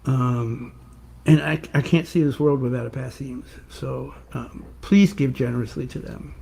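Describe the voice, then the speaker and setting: An older male singer-songwriter with a rough voice, chatting casually and rambling a little between songs. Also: mid-range voice, a little slow. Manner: rambling, warm-hearted, restarts often. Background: guitar.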